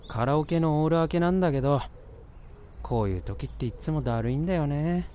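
A woman's voice: low voice, a little fast, mellow, slight background noise, natural voice. A woman voicing a gloomy, sarcastic male college student in a voice drama, with blunt, slangy, grumbling talk. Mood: weary, self-deprecating. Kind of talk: Japanese voice drama with inner monologue.

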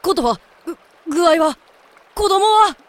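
A woman voicing a gentle, chatty, apologetic young man in a Japanese amateur voice drama. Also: very high-pitched, lively intonation, a little slow. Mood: panicked.

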